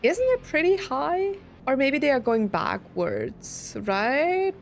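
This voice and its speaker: A woman's voice: high-pitched, big pitch swings, slow pace. A young adult woman giving casual let's play commentary, chatty and thinking aloud as she goes. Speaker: game streamer. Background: game music.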